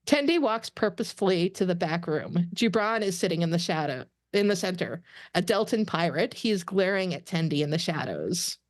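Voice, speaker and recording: A woman's voice: lowish voice, expressive, moderate pace, no room sound. A woman reading stage directions as narrator of a scripted table read.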